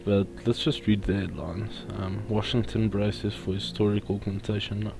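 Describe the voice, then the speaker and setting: Man, low voice, casual let's play host, reading aloud haltingly, faint game music behind. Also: level tone, gravelly. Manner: stumbles over technical words while reading. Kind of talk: casual gameplay commentary, reads in-game text aloud. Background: faint video game music.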